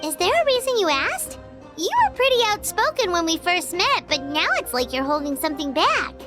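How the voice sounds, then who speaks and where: A woman voicing a tiny, chatty, childlike fairy companion for a video game, with a squeaky voice and talking about herself in the third person. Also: big pitch swings, moderate pace. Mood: curious. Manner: speaks of self in third person. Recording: music behind the voice.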